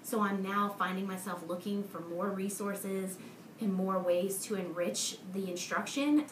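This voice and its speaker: A woman's voice: medium pitch, unhurried, slight background noise. Reflective woman teacher, roomy sound. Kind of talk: casual interview testimony.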